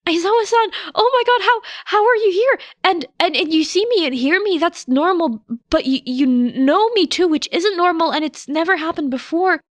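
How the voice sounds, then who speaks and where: A woman voicing an anxious, caring, emotional teenage boy with a halting stammer for a story reading. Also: very high-pitched, dry recording, pitched above the performer's own voice. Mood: anxious amazement. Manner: stammering, halting, breathless rambling. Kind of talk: audiobook character voice.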